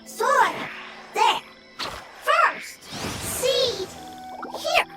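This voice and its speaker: A woman voicing a small, earnest elemental creature in a video game, speaking in halting, clipped broken phrases. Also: extremely high pitch, lively intonation, heavily acted. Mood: urgent. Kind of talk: video game character acting. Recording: music playing loudly behind. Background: loud game music.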